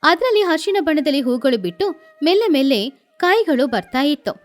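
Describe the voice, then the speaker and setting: A woman narrates a children's story in a very high voice. Her delivery is lively and swooping, with music underneath. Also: brisk.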